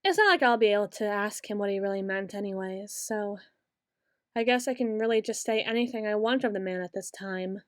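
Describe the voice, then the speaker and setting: A young woman voicing a brooding queen who speaks softly and musingly, close to the microphone, in an ASMR roleplay. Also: medium pitch, no room sound, close to an everyday voice. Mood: wistful. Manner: soft-spoken, slow and musing, turning bitter. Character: brooding young queen, bitter toward her late father.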